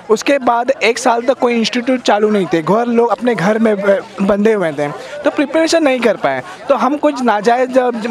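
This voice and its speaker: A male protest spokesman answering a reporter, laying out his case earnestly point by point. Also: sits high. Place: outdoor protest in the city. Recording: crowd noise around.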